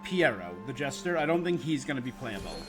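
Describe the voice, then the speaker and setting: A man's voice: mid-range voice, big pitch swings, a little fast. A man streaming a game, chatting casually and thinking aloud in a rambling, opinionated way. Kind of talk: casual livestream gaming chat. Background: game music.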